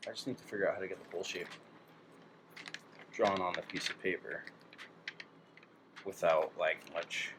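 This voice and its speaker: Low-voiced man musing over a noisy recording. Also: moderate pace, long pauses. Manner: hesitant, musing, talking half to himself. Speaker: DIY electronics hobbyist narrating his work. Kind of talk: casual thinking-aloud workshop chat.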